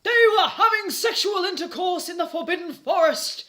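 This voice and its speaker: A woman's voice: very high voice, normal speed, sharp tone, a little hiss or hum, pitched above the performer's own voice. A woman voicing a pompous, furious old male wizard headmaster who declaims with indignation, in a comic story reading.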